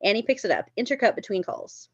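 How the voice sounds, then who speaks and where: A woman's voice: fairly high pitch, lively intonation, quick pace, no echo, highs cut off. A woman reading stage directions at a live script table read, informal and lightly amused.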